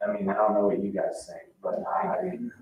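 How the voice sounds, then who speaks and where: Man, low-pitched, halting informal meeting presenter, heard from a distance in a roomy space. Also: sing-song. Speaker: commission member presenting at a public meeting. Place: indoor public meeting room. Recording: roomy, distant, dull, noisy meeting-room pickup.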